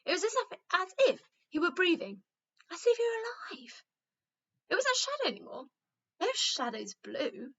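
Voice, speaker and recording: A woman reads a children's book aloud like a teacher to her class. Her high, bright voice moves up and down expressively, and the recording is a little dull. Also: very animated, moderate pace. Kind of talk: expressive children's storybook reading.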